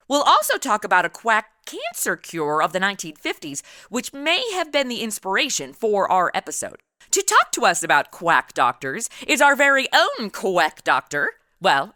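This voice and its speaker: Animated, bright-voiced woman hosting a podcast. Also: medium pitch, no room sound, clean studio sound. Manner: breezy, slangy, animated. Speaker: podcast host. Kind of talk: casual interview chat.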